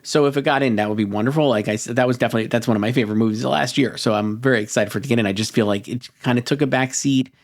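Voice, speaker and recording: A man co-hosting a casual film awards discussion in a tentative, halting conversational manner. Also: medium pitch. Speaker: podcast co-host.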